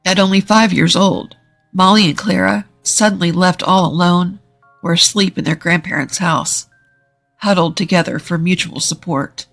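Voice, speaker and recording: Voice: mid-range voice, fairly even intonation, normal speed, fairly bright tone. Scripted story narrator over faint music. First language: English.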